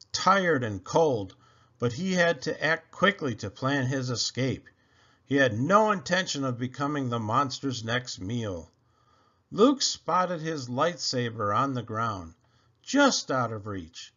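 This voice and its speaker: Male storybook reader, unhurried, dramatic stresses, slightly muffled lo-fi recording. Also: medium pitch, sharp tone. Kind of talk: scripted read-aloud storytelling. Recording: dull, lo-fi sound.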